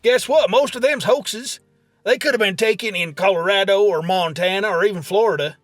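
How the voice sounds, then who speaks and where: A man's voice: high voice, normal speed, a bit bright, no room sound. A man playing a cocksure country conspiracy believer with a rural American accent, talking in folksy, ungrammatical comic banter. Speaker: comedy podcast co-host.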